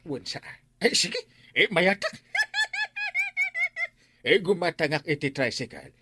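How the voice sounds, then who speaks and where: An actor playing an animated, blustery village buddy with an Ilocano accent, sputtering and exclaiming in a radio comedy. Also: male voice, fairly high pitch, measured pace, noisy background. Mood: surprised, curious. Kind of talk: radio comedy drama acting.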